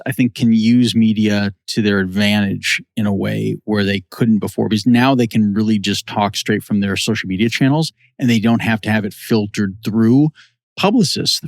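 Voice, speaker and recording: A man's voice: mid-range voice, dry recording. A man giving conversational commentary as a podcast co-host.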